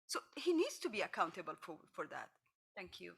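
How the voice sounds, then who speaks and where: A woman's voice: lowish voice, big pitch swings, fairly quick, gravelly, heavy background noise. A woman giving insistent, accusatory political commentary as a panelist. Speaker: panelist, advocate.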